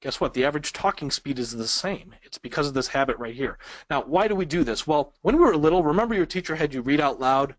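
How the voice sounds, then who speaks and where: A man's voice: fairly high pitch, lively intonation, fast talker, no echo. Man teaching a webinar, dry sound. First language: Polish. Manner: conversational, asks the audience questions. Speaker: speed reading instructor. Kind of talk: webinar lesson.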